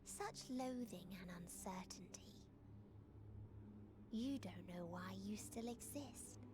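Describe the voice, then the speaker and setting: An actress playing a cold, sorrowful otherworldly female being in a video game, speaking in a solemn, measured, detached way. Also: mid-range voice, close to an everyday voice. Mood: cold, grave. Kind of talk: dramatic scripted game voice acting. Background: loud music.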